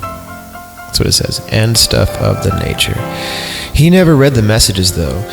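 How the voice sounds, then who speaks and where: Gravelly-voiced man riffing on a story. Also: on the low side, big pitch swings, measured pace, music in the background. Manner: rambling, irreverent, joking commentary. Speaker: podcast host. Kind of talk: comedic story reading with asides.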